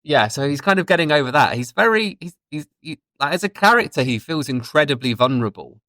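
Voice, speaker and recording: A man's voice: a little high, expressive, fast talker, bright, forceful tone, no echo. A man co-hosting a podcast in casual review chat, talking enthusiastically and thinking aloud as he goes. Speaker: podcast co-host.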